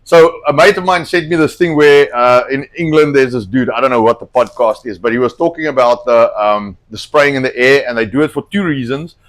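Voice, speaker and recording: A middle-aged man hosting a cooking live stream, chatting bluntly and swearing a lot as he rambles, his voice slightly hoarse from a cold. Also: medium pitch, fairly quick, hardly any pauses. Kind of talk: casual profane chat while cooking.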